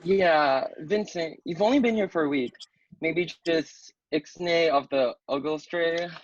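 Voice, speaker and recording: A man's voice: a little high, unhurried. A man playing an upbeat, tactful young roommate in a comedy table read, heard through dull, lo-fi audio. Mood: gently admonishing. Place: at home, remote table read.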